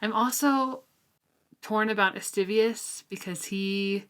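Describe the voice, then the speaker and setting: A woman's voice: mid-range voice, takes it slowly, a bit bright. A woman co-hosting a podcast, chatting informally and conversationally about a book, often dropping in "like". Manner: informal, conversational, frequent use of "like". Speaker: podcast co-host. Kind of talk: casual book discussion chat.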